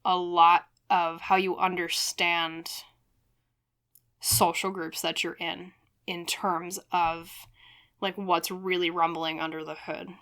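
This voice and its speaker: A woman with a fairly low voice speaks as a tarot reader. Her delivery is casual and conversational, wandering through reflective thoughts as she addresses her viewers directly. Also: little pitch movement, takes it slowly, a bit bright. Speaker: tarot reader and channel host.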